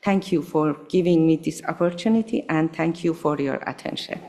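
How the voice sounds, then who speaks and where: A woman whose first language is Farsi, reading a prepared thank-you speech at a school board meeting with careful, measured delivery. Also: low-pitched, darkish tone, slight background noise. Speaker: adult school student speaker. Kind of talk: prepared speech read aloud.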